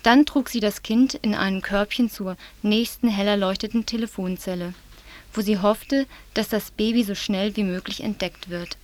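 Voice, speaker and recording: A woman presents local news in a measured, serious reading voice at a middling pitch. She is from southwest Germany. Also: fairly even intonation. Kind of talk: read-out news report.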